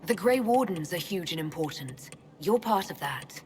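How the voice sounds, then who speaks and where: An actress playing a calm, perceptive woman leader in a video game, speaking in a composed, direct and supportive way. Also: fairly low pitch, moderate pace, a little hiss or hum, natural voice. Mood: reassuring. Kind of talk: dramatic video game dialogue.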